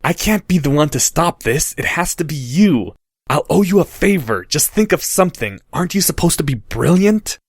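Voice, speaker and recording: Guy putting on a snooty schoolboy wizard, slow sneery drawl, swings up and down a lot. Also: fairly high pitch, very animated, slightly rough, no echo, clean studio sound, pitched above the performer's own voice, exaggerated voice. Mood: urgent whisper. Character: haughty boy wizard, drawling schemer.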